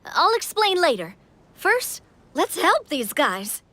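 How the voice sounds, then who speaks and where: A woman's voice: very high voice, very animated, slow, pushed and bright, no echo, exaggerated voice. A woman voicing a cheerful, friendly young storybook king in a game. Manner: upbeat, friendly, reassuring. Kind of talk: game voice acting.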